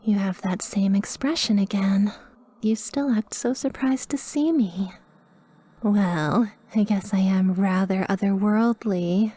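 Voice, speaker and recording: A woman playing a sweet, possessively devoted guardian angel in a roleplay ASMR monologue, with soft, breathy, often whispered speech and formal, flowery wording. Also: medium pitch. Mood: gently teasing. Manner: formal, flowery, slow and adoring.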